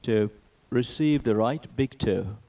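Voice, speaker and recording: Guy doing guided meditation, gentle n super slow, lots of pauses, creaky voice. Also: mid-range voice. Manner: gentle, measured, with long pauses. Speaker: meditation and yoga teacher.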